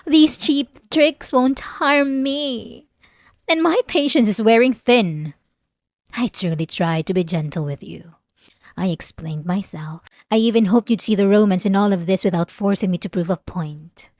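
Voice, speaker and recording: Girl acting as ancient vampire lady, obsessive and possessive, slow silky menace, super dry close-up asmr sound. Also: mid-range voice, darkish tone, no echo, lightly acted. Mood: mocking amusement, then obsessive frustration. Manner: slow, silky, condescending endearments, teasing menace. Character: centuries-old female vampire, obsessive, possessive, sweetly menacing lover. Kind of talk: ASMR roleplay, dramatic monologue. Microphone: close, dry studio-style mic.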